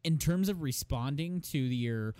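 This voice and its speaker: A man's voice: on the high side, expressive, measured pace, darkish tone, clear, resonant tone, no room sound. A young man with a phlegmy, strained voice, telling a heated, profane story about a bad manager in casual chat. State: phlegmy, losing his voice.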